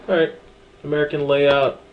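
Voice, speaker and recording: A man casually talking through a software setup tutorial, relaxed and chatty with frequent ums and small asides. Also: fairly high pitch, normal speed, muffled recording. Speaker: tutorial presenter. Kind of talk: screen-recorded software walkthrough.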